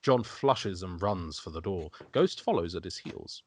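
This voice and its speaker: A man's voice: low-pitched, expressive, unhurried, darkish tone, slight background noise. A man reading scripted narration and stage directions in an amateur table read.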